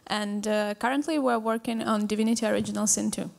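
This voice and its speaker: A woman's voice: mid-range voice, steady tone, fast talker, vocal fry, a little hiss or hum. A woman from Belarus briefly introducing herself on a panel, a little hesitant. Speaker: game character artist panelist.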